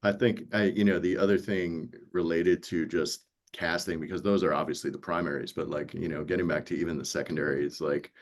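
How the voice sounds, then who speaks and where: A man's voice: low voice, steady tone, a little fast, few pauses. A man from New York, an audiobook producer, chatting casually and telling stories by acting out bits of dialogue. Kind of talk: casual panel conversation.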